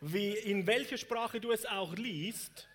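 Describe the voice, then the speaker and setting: A man's voice: sits high, takes it slowly, fairly bright tone. A man interpreting a sermon live in short phrases, cheerfully mirroring the preacher's delivery.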